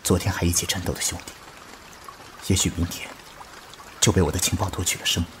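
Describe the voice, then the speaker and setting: Man acting very deep, resolute undercover officer. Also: flat delivery, fast, noisy background, close to an everyday voice. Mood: resolute, grave. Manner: grave, restrained, resolute. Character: resolute young undercover Communist officer, calm martyr. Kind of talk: solemn Chinese radio drama acting.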